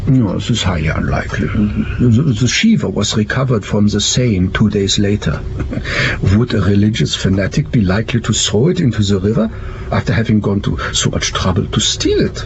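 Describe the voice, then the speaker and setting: A man's voice: fairly low pitch, expressive, moderate pace, muffled recording, background noise. An actor playing a bookish, hesitant male archaeology professor who relishes gruesome detail in a period mystery audio drama. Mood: pedantic, hesitant. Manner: hesitant, donnish, pedantic.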